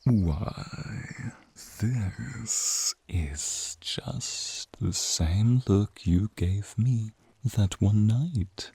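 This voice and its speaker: A man voicing a flirtatious, teasing male naga in an ASMR roleplay, speaking in a seductive, breathy, drawn-out way to the listener. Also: on the low side, warm tone, a little hiss or hum, exaggerated voice. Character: flirtatious, teasing male naga, crimson-eyed protective companion. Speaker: voice actor.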